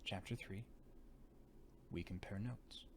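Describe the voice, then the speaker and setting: A man reading a story aloud in a theatrical narration style, sometimes dropping to a hush. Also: sits low, slow, slight background noise. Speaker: audiobook reader.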